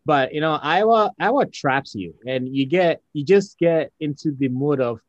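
A man's voice: a little high, expressive, normal speed, no room sound, lo-fi sound. A man who came to the United States from another country, interviewing a guest in a reflective, conversational way and voicing his own inner thoughts. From outside the United States. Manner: reflective, many fillers, acts out inner thoughts.

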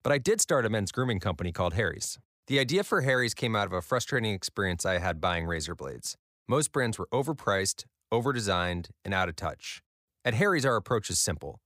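An American man delivers a scripted advert in a conversational way. His voice is fairly low with lively intonation, recorded close and very dry. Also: brisk, no echo. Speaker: company founder advertising his product.

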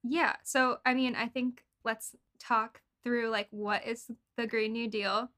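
Bright-voiced young American woman. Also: on the high side, fairly even intonation. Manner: informal, halting phrasing with frequent "like". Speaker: podcast co-host. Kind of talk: casual political podcast chat.

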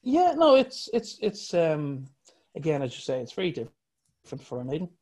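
A man with a fairly high speaking voice hosts a casual podcast chat. He weighs his opinions calmly and agrees readily with his co-host. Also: moderate pace. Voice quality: higher singing voice. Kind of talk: casual music review chat.

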